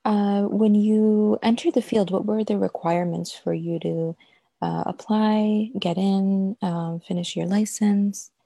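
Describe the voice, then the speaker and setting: A woman's voice: mid-range voice, steady tone, unhurried, creaky, mellow, no echo, studio quality. A woman interviewing a guest, asking probing questions with hesitant ums along the way. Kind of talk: casual interview.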